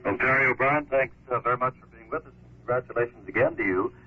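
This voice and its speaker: A man's voice: mid-range voice, fast talker. An American man working as a sports interviewer on 1950s radio. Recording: dull, noisy 1950s radio broadcast.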